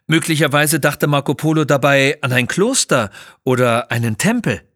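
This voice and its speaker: A man's voice: on the high side, expressive, moderate pace, a bit bright, no room sound, studio quality, voice pushed up. Man voicing a thoughtful, learned doctor explorer.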